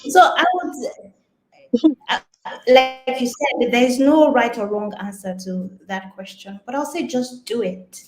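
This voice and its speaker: A woman's voice: a little high, unhurried, soft background music. A woman speaking as a guest expert, giving earnest, emphatic advice in a semi-formal online talk. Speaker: guest speaker on business branding. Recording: roomy, echoey sound.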